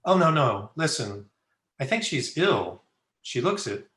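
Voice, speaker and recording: A man playing a kindly, earnest young gentleman in an old-time radio drama. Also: mid-range voice, sing-song, a little slow. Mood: earnest, puzzled. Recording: roomy home video-call audio.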